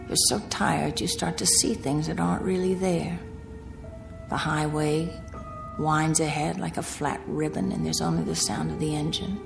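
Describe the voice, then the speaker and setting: A young woman motorist with a lowish voice and a gravelly fry, music playing clearly behind her. She sounds weary, anxious and confiding, as in a radio play. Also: fairly even intonation, normal speed. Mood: weary, uneasy. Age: 20s. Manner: uneasy, confiding. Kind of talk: radio drama acting, confiding narration and dialogue.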